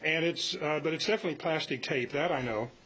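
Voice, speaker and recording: A man in his seventies giving an informal, halting, rambling talk to a club meeting. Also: fairly high pitch, a bit bright, slightly rough. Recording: muffled live meeting audio with hiss.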